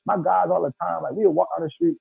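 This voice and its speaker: A man's voice: high voice, very animated, fast talker, creaky, breathy edge, dry recording. A man in casual chat, talking earnestly and emphatically, often saying bro. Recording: tinny phone-like sound, dry.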